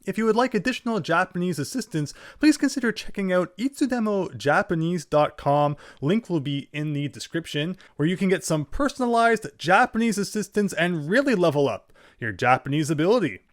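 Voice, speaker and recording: Lively man explaining pronunciation. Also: high-pitched, brisk, few pauses, dry recording, clean studio sound. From English-speaking. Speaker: language teacher. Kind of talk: scripted lesson narration.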